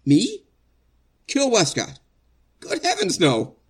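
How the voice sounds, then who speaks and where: An actor playing an anguished innocent man facing execution in a 1940s-style radio drama, earnest and halting, stammering with emotion. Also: high-pitched, wildly swooping pitch, breathy edge, heavily acted. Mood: shocked protest.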